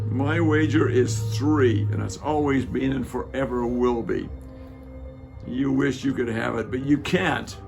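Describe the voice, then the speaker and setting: A man's voice: mid-range voice, vocal fry. A man playing a wry, musing Roman soldier, a stubborn intellectual, in a dramatic reading.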